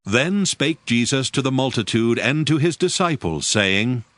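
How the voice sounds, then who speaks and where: A man narrating scripture from a script, with formal, measured phrasing. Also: medium pitch, animated, fairly bright tone, no echo, clean studio sound.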